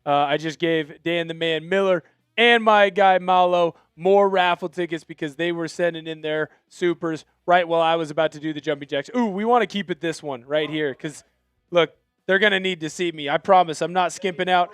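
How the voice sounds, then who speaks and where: A man's voice: on the high side, normal speed, fairly bright tone, smooth, no room sound, soft background music. A man co-hosting a live sports stream, talking casually and hyped up while doing jumping jacks. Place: sports media studio.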